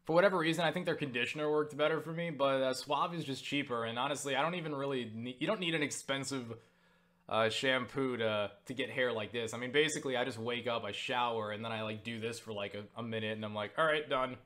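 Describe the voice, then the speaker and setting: A young man answering viewer questions in a casual vlog, rambling with lots of fillers and restarts, swearing offhand and getting animated when excited. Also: medium pitch, quick pace.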